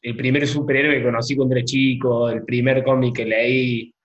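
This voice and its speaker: A man's voice: mid-range voice, a little fast. Laughing man in an echoey room. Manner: laughs often, relaxed. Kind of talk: casual podcast chat. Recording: roomy, muffled mic.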